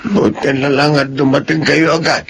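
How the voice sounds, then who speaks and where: An actor playing a frail, grateful old man in a Filipino radio drama, with a creaky voice and slow, gentle delivery. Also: a little high, flat delivery, slight background noise. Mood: grateful, sentimental. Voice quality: gravelly, creaky.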